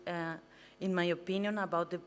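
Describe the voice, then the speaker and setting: A woman's voice: fairly low pitch, flat delivery, brisk, slight background noise. A young woman from Colombia with a Colombian Spanish accent, giving a prepared talk to an audience as a master's student, earnest and a little nervous, stumbling on some words. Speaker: guest speaker, master's student. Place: event venue.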